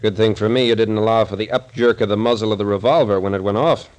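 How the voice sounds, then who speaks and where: A man's voice: fairly low pitch, quick pace, darkish tone, natural voice. Man playing a blunt, skeptical investigator captain. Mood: wry. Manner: blunt, wry, colloquial interrogator. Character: gruff, skeptical tough-guy captain turned investigator. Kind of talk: 1940s radio acting. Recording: old scratchy 1940s radio recording.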